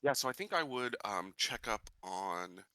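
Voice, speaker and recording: A man's voice: lowish voice, expressive, measured pace, sharp tone, dry recording, studio quality. A man playing a tabletop roleplaying game, talking casually and tentatively as he thinks his choices aloud.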